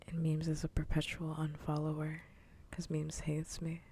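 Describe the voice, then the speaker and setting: Lady asmr streamer, soft whispery low voice w lots of fry, teasing chat, bit of rumble behind. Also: completely flat delivery, normal speed. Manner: playful teasing, repeats phrases. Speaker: livestream host. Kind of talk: casual ASMR livestream chat. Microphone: close ASMR microphone.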